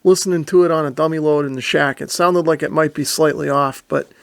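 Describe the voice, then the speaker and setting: Man, casual demo host, higher-set voice, gravelly fry, step-by-step explainer, dry recording. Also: fairly even intonation, fairly quick, no room sound. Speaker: host of a radio review and demo video. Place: indoor radio shack.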